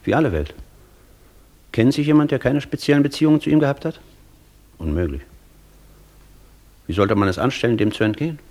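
A man's voice: medium pitch, animated, fairly quick, pauses often, darkish tone. A man acting an eloquent, imperious, worldly professor and master in a German radio play, musing theatrically. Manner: theatrical, musing, swinging emphasis.

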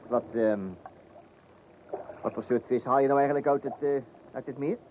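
An actor playing a bumbling, chatty amateur angler in a radio play, rambling, hesitant and repeating himself. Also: male voice, mid-range voice, fairly even intonation, normal speed, natural voice. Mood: chatty, jovial. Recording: dull, noisy old radio play recording.

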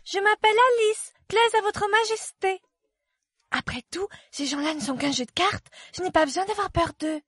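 A woman's voice: extremely high pitch, animated, moderate pace, fairly bright tone, no room sound, clean studio sound. A woman voicing a bold, polite, inquisitive young storybook girl with a forthright manner. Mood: polite, wary.

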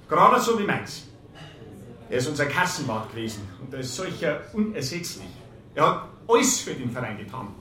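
A man's voice: fairly high pitch, bright, forceful tone. An actor playing a bombastic village sports club man, making a pompous speech in a radio drama. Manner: pompous, declamatory. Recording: echoey room.

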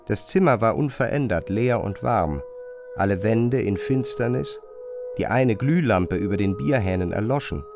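A man narrating a literary radio play from a script, in measured, carefully phrased long sentences on a close studio microphone. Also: fairly low pitch, expressive, gravelly, music in the background.

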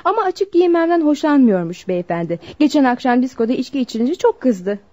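A woman's voice: sits high, big pitch swings, fairly quick, warm tone. A woman playing a vain, scheming young woman in a radio drama, flippant and haughty. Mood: mocking. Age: young adult. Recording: dull lo-fi radio recording.

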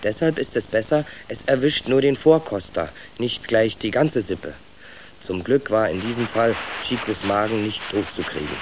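A man's voice: on the low side, moderate pace, noisy background, natural voice. An actor narrating a children's radio play as a young sewer rat recounting his own story. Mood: knowing.

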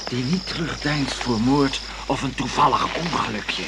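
Actor as calculating menacing man, mid-pitched, insinuating, threatening, radio play, loud recording noise. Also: a little slow, close to an everyday voice. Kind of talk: radio drama acting. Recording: very noisy old radio recording.

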